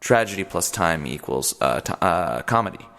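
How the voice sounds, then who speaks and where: A man hosting a comedic one-man radio play, rambling and deadpan self-important, full of fillers. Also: deep voice, normal speed. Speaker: podcast host. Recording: long hall reverb.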